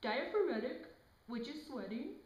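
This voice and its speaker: A woman's voice: high-pitched, lively intonation, moderate pace, heavy background noise. A woman presenting scripted educational health narration. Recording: dull echoey room sound.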